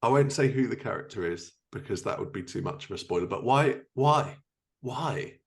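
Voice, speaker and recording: A man with a fairly low voice hosts a podcast. He speaks in a casual, conversational way as he puts questions to his guests. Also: animated, normal speed. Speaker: podcast host. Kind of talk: casual interview chat.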